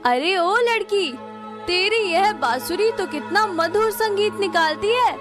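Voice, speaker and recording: A woman's voice: very high voice, animated, a little slow, music in the background. A woman voicing a wicked, grasping fairy in a cartoon, with an imperious, demanding manner. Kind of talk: Hindi moral-story cartoon acting.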